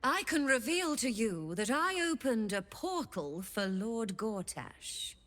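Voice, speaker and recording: A woman's voice: a little high, big pitch swings, slow, a bit bright, exaggerated voice. A woman playing a sly, greedy female devil who trades infernal bargains in a video game, theatrical, teasing and mock-courteous. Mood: condescending, boastful. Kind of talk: video game character acting.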